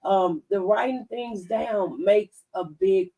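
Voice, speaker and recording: A woman's voice: medium pitch, slow, mellow. A woman chatting on a radio talk show. She drives her points home with plain, homely analogies and advice. She is picked up a little far from the mic, so her voice sounds set back. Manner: folksy, preachy, gives advice through plain analogies. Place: radio studio.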